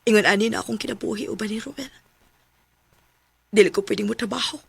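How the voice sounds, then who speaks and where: An actress with a Cebuano accent playing a battered but quietly determined young wife in a radio drama. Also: female voice, medium pitch, steady tone, fast, creaky, husky, no echo, full character voice. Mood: sorrowful, reflective. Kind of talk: Filipino radio drama acting. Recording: radio drama with faint music behind.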